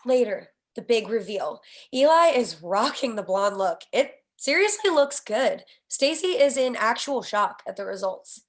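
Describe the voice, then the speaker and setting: A woman's voice: on the high side, animated, unhurried. A woman reading the scene headings and action lines of a screenplay as the narrator at a table read. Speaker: stage directions narrator.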